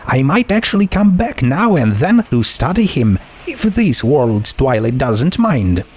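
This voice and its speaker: A man's voice: mid-range voice, big pitch swings, a little slow, darkish tone, slightly rough, voice pushed up. A man voicing a bookish, inquisitive teenage girl in a storybook, speaking in an articulate, analytical way. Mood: fascinated.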